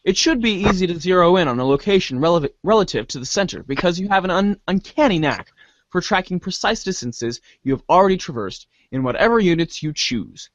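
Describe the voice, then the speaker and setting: High-pitched, animated man reading a story. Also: brisk, no echo, muffled recording. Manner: theatrical, stumbles over words and rereads them. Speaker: voice reader in a webcomic reader's theater. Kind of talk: dramatic reading of narration from a script.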